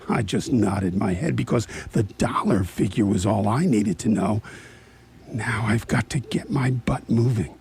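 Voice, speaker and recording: Man acting a husky, cocky French cat burglar. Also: medium pitch, heavily acted. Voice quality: husky, gravelly, breathy when hushed. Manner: muttering to himself, boastful, slipping into French. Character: cocky, jittery French male cat burglar psyching himself up. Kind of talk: radio theatre monologue.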